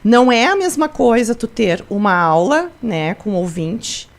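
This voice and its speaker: A woman's voice: on the high side, lively intonation, measured pace. A woman from Brazil, a school principal, answering interview questions in an explanatory way, with frequent tag questions and restarts. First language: Portuguese.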